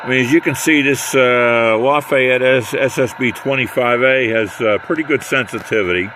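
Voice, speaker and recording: An older American man giving a casual hands-on equipment demonstration in a halting, unhurried way. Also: medium pitch, background noise. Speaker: radio hobbyist demonstrating equipment.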